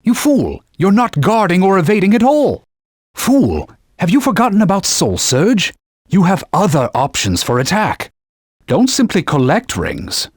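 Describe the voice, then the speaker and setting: An imperious sacred sword mentor in a game, slightly high, husky, dry close sound. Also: male voice, no echo, full character voice. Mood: scolding. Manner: imperious, scolding, archaic knightly phrasing. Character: haughty male talking sacred sword, stern mentor. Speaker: game character. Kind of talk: video game voice lines.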